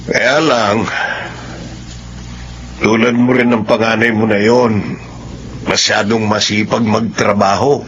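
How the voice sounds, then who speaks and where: A man's voice: lowish voice, flat delivery, slow pace, pauses often, a bit bright, lo-fi sound, slight background noise. An actor playing a friendly, teasing older grandfather chatting familiarly in a radio drama.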